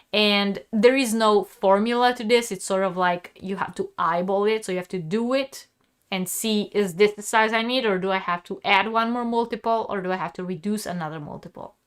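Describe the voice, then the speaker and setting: Hoarse-sounding woman teaching crochet live. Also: medium pitch, fairly bright tone. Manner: chatty, restarts often, answers viewers directly. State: sick, sore throat, losing her voice. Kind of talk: live-stream crochet lesson with chat questions.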